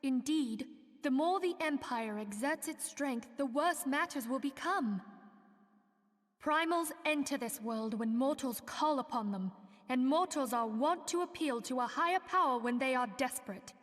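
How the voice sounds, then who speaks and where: Earnest young female leader, high voice, formal oratory, fantasy game cutscene, long hall reverb. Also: animated, unhurried. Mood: grave, urgent. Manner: formal, oratorical, archaic diction.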